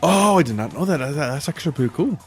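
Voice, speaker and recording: A man's voice: a little high, expressive, quick pace, music in the background. A man co-hosting a podcast, chatting casually about movies in a reactive, emphatic way. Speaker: podcast co-host. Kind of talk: casual movie review chat.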